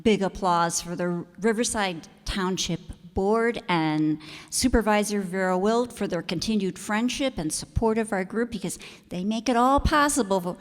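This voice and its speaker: A woman's voice: medium pitch, normal speed. A woman giving closing thank-you remarks to an audience as host of a community theater group. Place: community auditorium stage. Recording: echoey hall, picked up in reverberant room.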